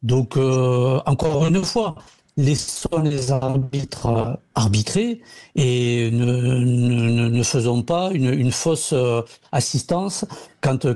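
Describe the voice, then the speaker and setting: A man who is a former footballer, speaking as a radio pundit, halting and restarting often as he explains. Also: mid-range voice, dark tone. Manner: halting, many restarts and fillers. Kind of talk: radio debate.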